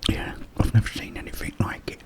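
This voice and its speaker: A British man whispering a casual, rambling ASMR story up close to the microphone, with pauses. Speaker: ASMR video creator.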